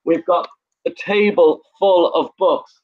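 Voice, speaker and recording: A man with an Irish accent, whose first language is Irish, talking fast and eagerly as a guest on a video call, rattling off lists in a pushy sales pitch. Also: fairly even intonation, phone-quality sound. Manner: fast, pushy, lists things off in rapid bursts. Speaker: guest, property investor. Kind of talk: enthusiastic sales pitch in conversation.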